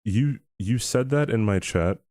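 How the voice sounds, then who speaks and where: Deep-voiced young man chatting on stream. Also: dry recording, clean studio sound. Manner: chuckling, halting and relaxed. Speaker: game streamer. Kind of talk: casual chat with a live stream audience.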